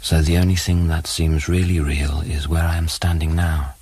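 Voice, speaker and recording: Actor playing a haunted, very deep-voiced man of science. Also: flat delivery, normal speed, darkish tone, slightly rough, dry recording. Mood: wistful, haunted. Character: haunted, awestruck male scientist recalling an alien world. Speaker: narrator and lead actor. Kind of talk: dramatic first-person audio play monologue. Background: music under the voice.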